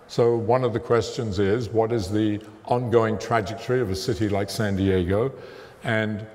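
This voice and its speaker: A man giving a public science lecture, talking in an emphatic, conversational way with a fairly loud voice, away from the podium. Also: lowish voice. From immigrant to the United States. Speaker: guest lecturer, theoretical physicist. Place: museum lecture hall, walking away from the podium. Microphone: room mic, speaking without a handheld mic. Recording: long reverb of a lecture hall.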